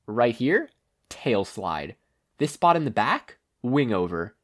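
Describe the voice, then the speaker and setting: A man voicing a cheeky, curious teenage boy hero in an audiobook, playful and excitable. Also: mid-range voice, takes it slowly, exaggerated voice. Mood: exasperated.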